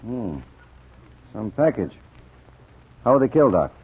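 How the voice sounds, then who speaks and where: An actor playing a brisk, authoritative American police lieutenant with clipped delivery in a 1940s radio drama. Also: male voice, medium pitch, very animated, husky. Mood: businesslike. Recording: tinny 1940s radio recording.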